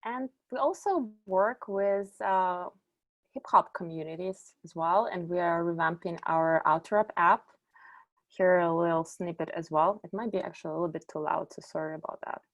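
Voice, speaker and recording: A middle-aged woman from Ukraine giving a conference presentation, explaining in a measured way and lifting her voice on key words. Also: lowish voice, poor audio. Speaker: conference speaker, company executive.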